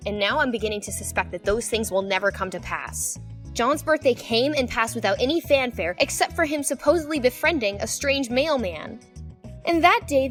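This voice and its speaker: A woman voicing an earnest, suspicious, bossy teenage girl in a fan dub, speaking in an emphatic, lecturing way. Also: sits high.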